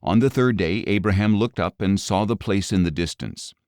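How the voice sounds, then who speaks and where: A man narrating scripture as an audio Bible reader, with a measured, expressive dramatic delivery. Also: lowish voice, no room sound, clean studio sound.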